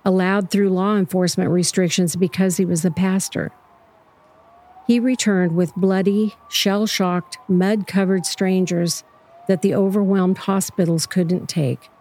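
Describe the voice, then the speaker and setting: Low, dark-voiced woman narrator. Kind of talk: scripted first-person storytelling narration.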